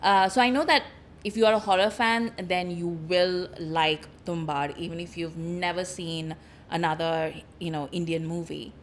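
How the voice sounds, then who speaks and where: A woman co-hosts a casual podcast chat. Her voice is low for a woman, and she talks in an animated way, often trailing off quietly at the ends of her phrases. A faint hum of background noise sits under her voice. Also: normal speed. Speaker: podcast co-host.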